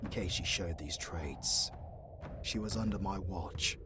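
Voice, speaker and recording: A solemn demon hunter acted by a man speaks in a very deep, breathy hush over loud music. He is formal, deliberate and stoic, as in a fantasy audio drama. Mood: resolute.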